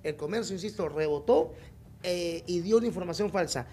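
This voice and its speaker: A man's voice: sits high, fairly quick, a little mellow, slight background noise. A man with a Peruvian Spanish accent giving heated, casual political commentary in a blunt, mocking, sweary way. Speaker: political show panelist.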